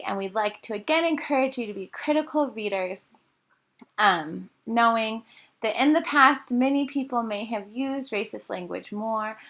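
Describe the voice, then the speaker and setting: Woman on staff reading out a note, higher voice, earnest, some ums. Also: a bit bright. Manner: earnest, a little halting, with fillers. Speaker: volunteer staff member. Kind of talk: informal spoken announcement.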